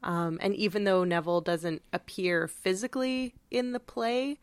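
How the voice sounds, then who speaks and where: A woman's voice: mid-range voice, moderate pace, clean tone, no room sound. A woman with a mid-range voice co-hosts a conversational podcast. She is thoughtful and a little tentative as she frames her points. The recording is dry, with no audible room. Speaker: podcast co-host. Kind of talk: casual conversational literary discussion.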